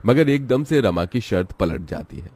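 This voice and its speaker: Low, mellow male story narrator. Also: very animated, normal speed, husky, no room sound.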